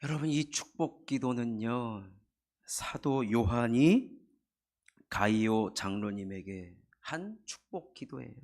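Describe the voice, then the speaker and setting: A middle-aged man preaching a Sunday sermon in a church, his voice hoarse and husky from a cold, building earnest emphasis. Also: mid-range voice, lively intonation, slow pace, a little mellow. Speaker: pastor. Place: church sanctuary.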